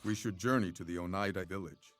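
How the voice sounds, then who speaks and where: Man playing a stern, low-voiced elder uncle. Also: quick pace, very noisy, lightly acted. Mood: grave. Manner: grave, authoritative. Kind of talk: dramatic game cutscene acting.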